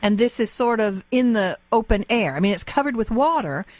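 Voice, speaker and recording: A woman's voice: a little high, lively intonation, brisk, no echo. An American woman interviewing on the radio in a conversational way, inquisitive and plain-spoken as she checks she understands.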